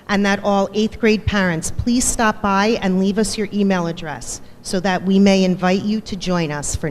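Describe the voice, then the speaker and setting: A woman from the band parents association reading a prepared, formal appeal to other parents. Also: mid-range voice. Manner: formal, read-aloud delivery. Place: school auditorium. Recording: echoey hall with faint noise.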